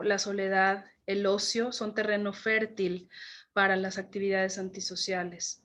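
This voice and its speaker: A woman from Mexico, a government official chairing a panel, giving a measured, earnest formal speech. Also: mid-range voice.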